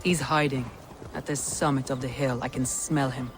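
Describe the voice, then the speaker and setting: A woman's voice: rumbling low, fairly even intonation, moderate pace, background noise. An actress playing a stern, grieving Viking woman leader with a solemn, commanding and formal delivery in a video game. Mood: brooding, vengeful. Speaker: clan leader. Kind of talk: dramatic video game acting.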